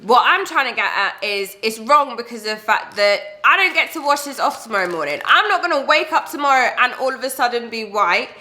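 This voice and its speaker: A woman's voice: fairly high pitch, animated, a little fast, few pauses, pushed and bright. A woman arguing her point in a group debate, emphatically and in short storytelling bursts. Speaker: panelist.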